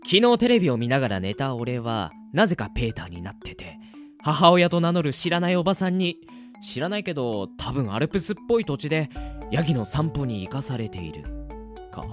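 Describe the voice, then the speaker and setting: A man's voice: mid-range voice, very animated, a little fast, soft background music, lightly acted. A man playing a slangy, cheeky, bewildered boy who mutters to himself in a radio drama. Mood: musing. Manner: casual slangy muttering to himself, exaggerated reactions. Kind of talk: hobby radio drama acting with self-talk monologue.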